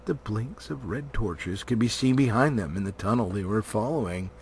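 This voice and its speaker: A man's voice: lowish voice, animated, moderate pace. A man giving a theatrical, emphatic storybook narration as an amateur audiobook reader. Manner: theatrical, emphatic, with varying volume. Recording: noticeable background noise.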